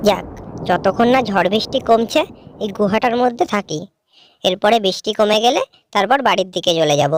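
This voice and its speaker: A woman's voice: mid-range voice, fairly quick, dry recording, natural voice. A woman acting a young village sister in a cartoon folk tale. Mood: worried, meek. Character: two village sisters, one sweet, one spiteful.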